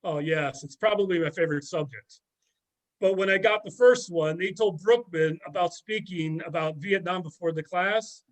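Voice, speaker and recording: A man's voice: high-pitched, normal speed, close to an everyday voice, rough recording. A man playing a sardonic, gossipy middle-aged former student in an amateur readers theater drama. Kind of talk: amateur readers theater, conversational script reading.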